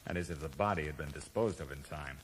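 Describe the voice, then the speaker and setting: An actor playing a cool, calculating schemer, urbane, wry and evasive, in a 1980s radio drama. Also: male voice, rumbling low, fast talker. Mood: unrepentant. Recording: echoey, noisy old radio broadcast recording.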